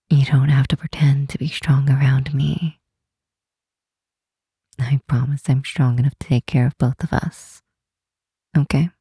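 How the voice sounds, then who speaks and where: A woman voicing a protective, commanding yet tender Demon Queen in an ASMR roleplay, speaking softly and breathily, often whispering, in a soothing, gently insistent way. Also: low-pitched, husky, no echo, studio quality, heavily acted. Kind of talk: ASMR roleplay, intimate comforting monologue.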